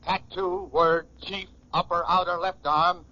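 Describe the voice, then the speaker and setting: A man's voice: high-pitched, flat delivery, a little slow, pushed and bright. A man reading a clipped, urgent, staccato police bulletin on 1940s radio. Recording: muffled vintage radio recording.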